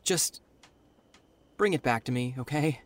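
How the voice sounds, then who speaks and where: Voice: medium pitch, unhurried, slight background noise, close to an everyday voice. An actor playing a worried, protective young friend and investigating partner in a naturalistic audio drama. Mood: concerned.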